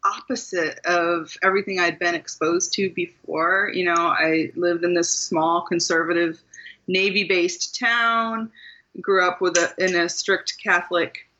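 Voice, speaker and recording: Bright-voiced woman, laughing storyteller. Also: on the low side, a little slow. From Maryland, USA. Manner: animated storytelling, laughs often. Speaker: guest author. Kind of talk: conversational interview. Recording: muffled lo-fi sound.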